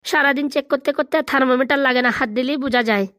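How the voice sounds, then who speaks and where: A woman's voice: sits high, fairly even intonation, racing, fairly bright tone, dry recording, clean studio sound. A woman voicing a brash village doctor in a comic cartoon, boastful and emphatic. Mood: cocksure.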